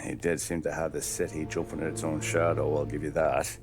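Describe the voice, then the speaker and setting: Very deep, sardonic hard-boiled lawman. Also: little pitch movement, a little fast, music in the background. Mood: dry, conceding. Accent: American. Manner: sardonic, slangy. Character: skeptical, sardonic hard-boiled male lawman questioning a mobster. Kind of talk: cinematic crime game dialogue.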